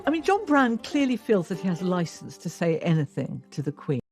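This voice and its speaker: A woman's voice: fairly low pitch, big pitch swings, brisk. A woman giving wry, colloquial commentary as a documentary interviewee. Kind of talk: conversational commentary. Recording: music clearly behind the voice.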